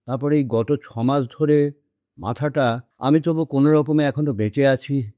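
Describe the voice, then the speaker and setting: A man's voice: mid-range voice, a little slow, no echo, close to an everyday voice. An actor playing an anxious, lonely old man in a radio drama, speaking hesitantly and repeating his words. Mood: weary sorrow. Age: older. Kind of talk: Bengali radio drama acting. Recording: dull lo-fi audio with background music.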